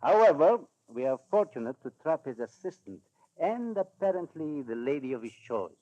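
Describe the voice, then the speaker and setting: An actor playing a stern, smugly courteous Balkan government official in 1940s radio drama, speaking formally and with emphasis. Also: male voice, fairly high pitch, very animated, dark tone. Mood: stern, insistent. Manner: formal, emphatic, hesitant pauses. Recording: dull old radio recording.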